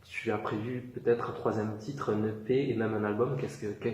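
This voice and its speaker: A man's voice: fairly low pitch, fairly even intonation, moderate pace, a little mellow. A man interviewing a singer, friendly and fan-like, asking hesitant questions. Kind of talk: casual interview. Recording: echoey room with crowd noise.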